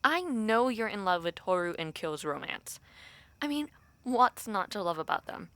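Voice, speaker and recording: Young woman as a female college friend for audio roleplay: bright, crisp-edged voice, chatty, sentimental, gently teasing. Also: medium pitch, very animated, normal speed, no room sound. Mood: wistful, gently teasing. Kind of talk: intimate audio roleplay, casual one-sided chat.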